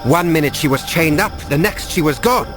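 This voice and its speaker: A man's voice: a little high, animated, fairly quick, close to an everyday voice. An actor playing a defensive, deferential henchman answering to his master in a video game. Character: chastened henchman, womanizing son of villain. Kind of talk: dramatic video game acting. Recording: game cutscene with music behind.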